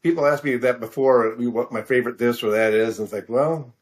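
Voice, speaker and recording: A middle-aged American man answering questions in a casual interview, halting and self-deprecating as he searches for his words. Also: mid-range voice. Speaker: author interview guest.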